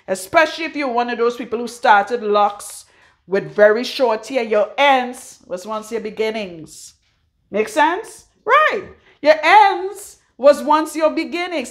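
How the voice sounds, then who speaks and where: A middle-aged Trinidadian woman giving a frank, forceful hair-care talk as a loctician in her salon. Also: on the high side. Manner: blunt, forceful, frank, swears freely. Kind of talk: casual hair-care tutorial.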